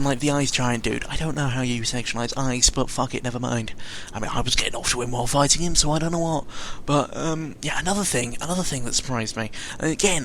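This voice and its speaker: A man's voice: medium pitch, fairly bright tone. A young British man giving a casual, sweary, rambling audio log in the manner of a gaming vlogger. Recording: clearly noisy amateur recording with ambient sound.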